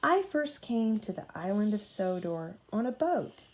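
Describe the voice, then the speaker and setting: A woman's voice: mid-range voice, very animated, unhurried. Young storybook engine, male, kindly and earnest, taking his time; read by a woman. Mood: confiding. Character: gentle, friendly young male narrow-gauge engine.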